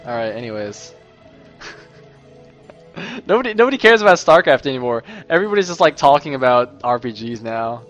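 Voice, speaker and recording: Young male caster, hoarse and slightly sick, chatty solo commentary with music underneath. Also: mid-range voice, brisk, pauses often, highs cut off. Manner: chatty, rambling asides, excitable bursts. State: losing his voice, a little sick. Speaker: esports caster.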